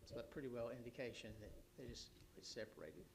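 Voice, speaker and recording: A hoarse man reports to a city council in a rambling, halting way, full of restarts and fillers. His voice sits in an ordinary middle register. A faint hum runs underneath. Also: mid-range voice. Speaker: city official giving a utility report.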